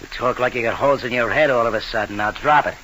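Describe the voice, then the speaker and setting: An actor playing a gruff, suspicious crime boss rattled by guilt, talking in clipped gangster slang in an old-time radio drama. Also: male voice, medium pitch, fast, bright, forceful tone, lightly acted, rough recording. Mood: irritable, tough. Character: hard-boiled male gangland boss, suspicious, rattled by guilt. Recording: hissy vintage radio recording.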